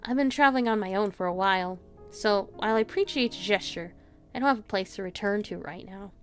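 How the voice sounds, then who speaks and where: A woman's voice: medium pitch, a little fast, soft background music, natural voice. A woman voicing a guarded, weary injured mermaid, evasive and polite, in a visual novel. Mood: tired, grateful. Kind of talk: visual novel read-aloud.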